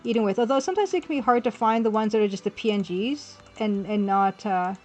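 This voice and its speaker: A woman's voice: mid-range voice, fast talker. A woman hosting an art livestream, chatting informally and thinking aloud as she works and replies to chat. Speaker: livestream host and pixel artist. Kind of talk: casual chat while drawing on a livestream. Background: music.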